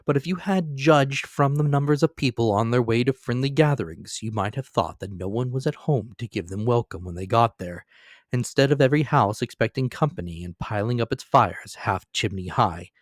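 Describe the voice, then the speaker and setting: A man's voice: medium pitch, no room sound, clean studio sound. Man reading a classic story. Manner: measured, theatrical storytelling. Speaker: reader. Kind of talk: dramatic reading of a classic novel.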